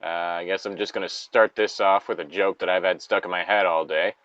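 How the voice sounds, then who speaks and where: A man's voice: deep voice, fairly even intonation, a little fast, fairly bright tone, no room sound, lo-fi sound. A man hosting a casual chat, talking in a crude, joking manner with frequent swearing. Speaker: podcast host.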